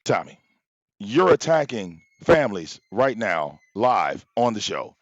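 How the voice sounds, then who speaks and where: Guy ranting live on his stream, loud voice, pitch jumping everywhere, kinda muffled dry audio, mic popping. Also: big pitch swings, unhurried, no room sound. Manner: argumentative, fires rhetorical questions, profane. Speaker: livestream host. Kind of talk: confrontational live-stream rant. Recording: dull, slightly lo-fi, dry sound.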